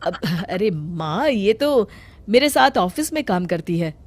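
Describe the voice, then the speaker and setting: A woman's voice: medium pitch, sing-song, a little slow, dry recording. A woman voicing a young adult son who works in an office, complaining and pleading in a cartoon story. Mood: hurried.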